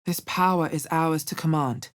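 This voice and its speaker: An actress voicing a guarded, practical female adventurer in a video game, with a husky voice and dry, understated delivery. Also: sits low, normal speed, lightly acted. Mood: wry, focused. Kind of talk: video game character voice lines.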